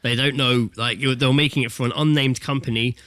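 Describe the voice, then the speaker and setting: A man in his thirties with a bright, forward voice and a very dry sound. He talks like a podcast co-host trading blokey, sweary banter, jokey and casual throughout. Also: mid-range voice, steady tone, fairly quick, no echo. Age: 30s. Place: remote internet call.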